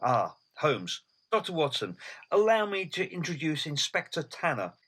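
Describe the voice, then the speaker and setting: A man's voice: on the high side, very animated, normal speed, fairly bright tone, breathy edge, exaggerated voice. A man playing a commanding, plain-spoken Victorian police inspector in an audio drama, businesslike.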